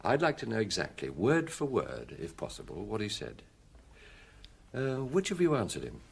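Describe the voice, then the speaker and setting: A British actor playing a shrewd, avuncular middle-aged police detective in a radio drama, measured, probing and quietly wry. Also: male voice, on the low side, huge pitch swings, vocal fry, slightly rough, heavily acted.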